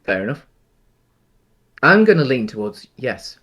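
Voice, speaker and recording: A man with a mid-pitched voice chats about films as a podcast co-host. His phrasing is halting and rambling, often circling back before it settles on a point. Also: sing-song, highs cut off. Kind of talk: casual film discussion.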